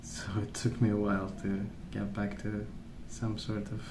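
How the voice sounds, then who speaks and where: A man speaking softly in a hushed, unhurried ASMR ramble, musing as he goes. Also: lowish voice, completely flat delivery, echoey room, noisy background. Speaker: ASMR video host. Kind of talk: soft-spoken ASMR rambling while colouring.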